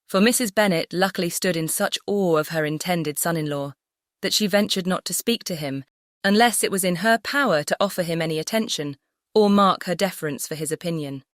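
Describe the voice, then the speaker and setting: Lowish-voiced woman narrating. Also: no room sound, clean studio sound. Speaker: audiobook narrator. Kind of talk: scripted narration of a classic novel.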